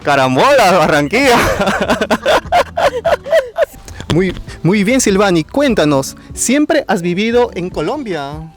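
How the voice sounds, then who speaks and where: Brief: male host, fairly high voice, upbeat and exclamatory, faint music behind. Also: very animated, slow, long pauses, a bit bright. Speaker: YouTube channel host. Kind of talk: friendly interview hosting.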